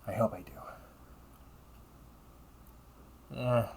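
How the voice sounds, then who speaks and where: A man voicing a cunning, condescending villain and older brother in an audio roleplay, taunting and theatrical, often dropping toward breathy near-whispers. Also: on the low side, fairly even intonation, fast, a little mellow. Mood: smug, exasperated. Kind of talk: audio roleplay monologue addressed to the listener.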